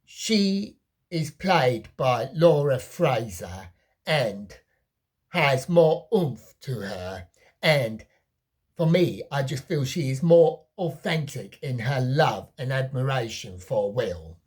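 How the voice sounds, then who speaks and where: Low-pitched, slightly husky woman reviewing films. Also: animated, slow, darkish tone. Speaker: film reviewer. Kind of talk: personal movie review to camera.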